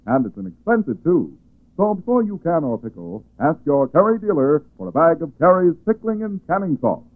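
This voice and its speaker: Animated male vintage radio pitchman. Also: normal speed. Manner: polished, emphatic salesmanship. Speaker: radio announcer and sponsor pitchman. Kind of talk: 1940s radio advertising announcing. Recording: tinny, band-limited 1940s radio recording.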